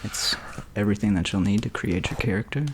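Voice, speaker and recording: A man's voice: on the low side, fairly even intonation, fairly quick, natural voice. A man voicing a laid-back teenage metalhead game master in a whispered ASMR roleplay, over a noisy hiss.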